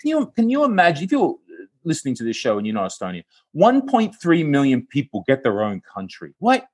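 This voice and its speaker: A man's voice: fairly high pitch, a little fast. A man with an Australian accent, a comedy producer talking as a podcast guest in a casual, jokey way. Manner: animated, jokey, laughs often. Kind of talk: casual interview chat.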